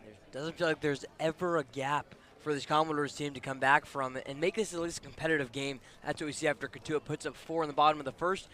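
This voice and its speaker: A man's voice: a little high, steady tone, quick pace, few pauses, fairly bright tone. A man giving color commentary on a baseball radio broadcast in a conversational, analytical way. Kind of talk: live radio sports analysis.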